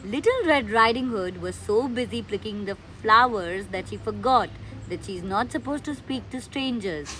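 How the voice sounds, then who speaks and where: A woman's voice: on the high side, expressive, normal speed, sharp tone, a little hiss or hum. Woman narrating in an echoey room. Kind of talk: scripted children's story narration. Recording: echoey room with faint music.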